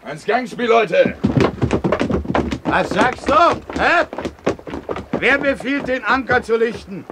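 An actor playing an impatient pirate sailor who barks urgent orders across a deck in a 1970s German radio play. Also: male voice, lively intonation, slow, heavily acted. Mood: bossy, urgent. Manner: barking, urgent, high strained calling. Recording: noisy old radio ship-deck scene.

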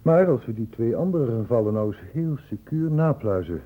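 An actor playing a persistent male doctor investigating an infection in a Dutch radio drama, questioning deliberately. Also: mid-range voice, expressive, narrow, tinny audio, close to an everyday voice. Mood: urgent, insistent.

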